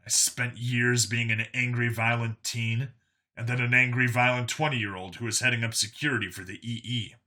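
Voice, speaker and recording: A man's voice: mid-range voice, flat delivery, moderate pace, pushed and bright, lightly acted. A man voicing a tough human enforcer in an audiobook story, explaining himself in an earnest, blunt way. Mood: reflective.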